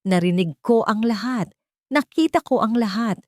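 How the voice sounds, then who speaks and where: A woman's voice: a little high, expressive, moderate pace, a little mellow, no echo, clean studio sound, voice pushed up, close to an everyday voice. A woman voicing a stern, cutting husband who confronts his deceitful wife in an audio drama story. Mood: furious.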